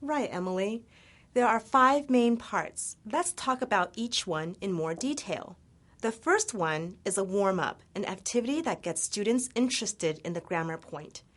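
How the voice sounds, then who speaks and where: A woman who grew up in the United States, an English teacher presenting a scripted grammar lesson in an upbeat, clearly enunciated way. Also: medium pitch, lively intonation, unhurried.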